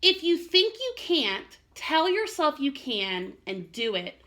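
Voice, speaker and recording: A woman voicing a gentle, loving mother raccoon, encouraging her child in a storybook. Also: high-pitched, big pitch swings, takes it slowly, pitched above the performer's own voice, heavily acted.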